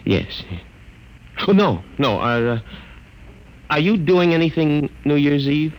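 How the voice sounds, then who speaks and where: A man's voice: fairly high pitch, lively intonation, slow pace, rough recording. An actor playing a timid, downtrodden, self-doubting man in a mid-century radio drama, with hesitant, stammering delivery. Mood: nervous, weary. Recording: vintage radio recording with music behind.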